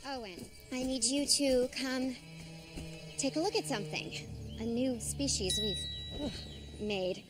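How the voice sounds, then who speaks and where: An actress playing an anxious, businesslike park executive in film dialogue, speaking formally and a little haltingly. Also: female voice, high-pitched, music in the background. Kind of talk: film dialogue in a game cutscene.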